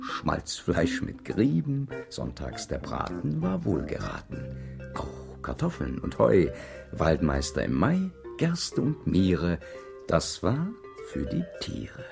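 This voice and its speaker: An actor playing a storyteller who recites playful rhyming verse in a theatrical, measured way for a children's radio play. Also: male voice, low voice, vocal fry, full character voice. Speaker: narrator. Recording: radio play with music underneath.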